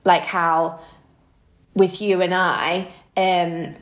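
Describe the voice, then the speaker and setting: A woman's voice: lowish voice, little pitch movement, drawn-out words. Echoey-sounding woman in her thirties, chatting. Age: early thirties. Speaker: podcast co-host. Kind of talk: casual podcast chat. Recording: roomy, echoey room sound.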